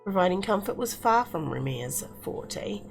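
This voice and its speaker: A woman's voice: medium pitch, very animated, measured pace, vocal fry, rough recording. A woman game reviewer reading visual novel text aloud expressively, now and then stumbling over words. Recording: music playing behind the voice. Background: music.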